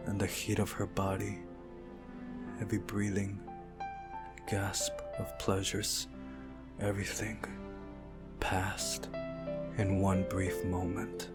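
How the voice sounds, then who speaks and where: Low, slow-paced man reading a story. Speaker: host of a game playthrough channel. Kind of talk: reading visual novel narration aloud, with brief commentary. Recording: music behind the voice. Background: game music.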